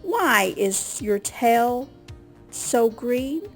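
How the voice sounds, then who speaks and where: Curious little female storybook bird, played by a woman reader: high, swooping, slow, wondering calls. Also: very animated, soft background music, exaggerated voice. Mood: curious, calling. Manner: calling out, wondering.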